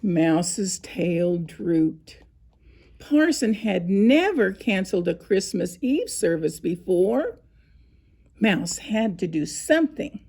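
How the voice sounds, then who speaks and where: A woman narrating a scripted children's story, with expressive, deliberate phrasing and dramatic pauses. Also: medium pitch, gravelly. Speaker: storybook reader.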